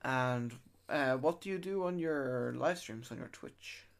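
A woman hosting a casual interview, relaxed and easygoing, giving short replies and laughing easily. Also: very deep voice, a little slow. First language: English. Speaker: interviewer host.

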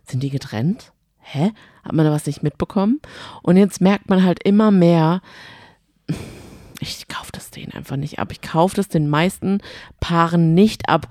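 A woman's voice: fairly low pitch, a little mellow, no room sound, clean studio sound. A woman co-hosting a podcast in casual chat, speaking colloquially and with emphatic opinions. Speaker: podcast co-host. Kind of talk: casual chat about a reality show.

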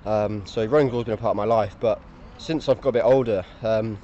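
A man's voice: fairly low pitch, steady tone, fast, mellow, a little hiss or hum. A man speaking enthusiastically and fluently. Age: 20s. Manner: enthusiastic, articulate. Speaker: parkrun runner interviewee. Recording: dull lo-fi sound.

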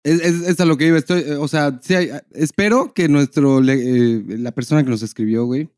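A man's voice: a little high, a little fast, darkish tone, clear, resonant tone, no room sound, studio quality. A Mexican man co-hosting a comedy podcast in casual, slangy banter, often jumping in. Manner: slangy, interjecting. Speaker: podcast co-host.

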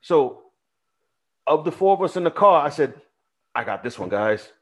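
A man telling a story in casual podcast chat, animated and swearing freely. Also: a little high, normal speed. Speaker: podcast co-host.

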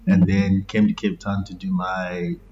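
Relaxed man in his thirties. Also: low-pitched, measured pace, dull audio, slight background noise. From Zimbabwe. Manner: relaxed, laughs easily, self-correcting. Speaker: guest musician. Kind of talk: casual interview talk.

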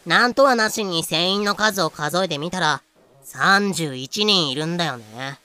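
A woman in a fan audio drama playing a talkative pirate crew member with casual, expressive speech. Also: lowish voice, measured pace. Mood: puzzled. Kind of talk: Japanese fan-made audio drama acting.

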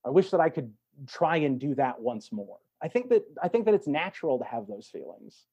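Higher-voiced, mellow man, slightly muffled. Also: sing-song, fairly quick, slightly rough. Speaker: podcast guest, stage and voice actor. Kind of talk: reflective interview conversation.

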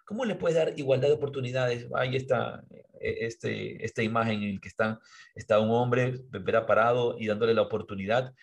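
A man's voice: medium pitch, a little fast, creaky. A man co-hosting a podcast, talking earnestly and a bit preachy, often restarting words as he thinks. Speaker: podcast co-host. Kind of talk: reflective podcast conversation.

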